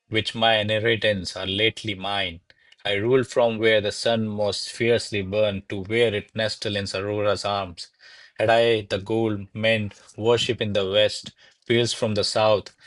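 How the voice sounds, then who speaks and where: An actor playing courtly men of a classical comedy in a radio play, with formal, florid theatrical delivery. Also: male voice, lowish voice, no room sound, natural voice. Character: courtly servants and grandiloquent noble suitor of classical Spanish comedy. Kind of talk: stage-style radio play acting.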